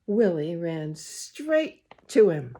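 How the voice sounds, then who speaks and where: A woman reading a picture book aloud to young children, expressive and gently pausing to speak to her listeners. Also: on the low side. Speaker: storybook reader, school well-being coordinator.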